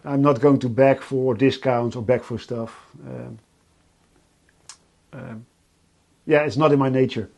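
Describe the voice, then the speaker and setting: A Dutch man with a Dutch accent, hosting a casual live stream from his workshop and talking in a halting way with many fillers and restarts. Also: medium pitch, steady tone, gravelly, a little mellow. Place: home workshop.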